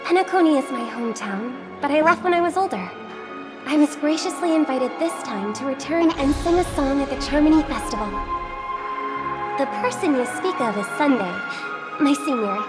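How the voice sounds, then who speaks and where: A gracious young pop singer. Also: female voice, sits high, lively intonation, brisk. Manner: soft, courteous. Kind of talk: video game voice acting. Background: game music.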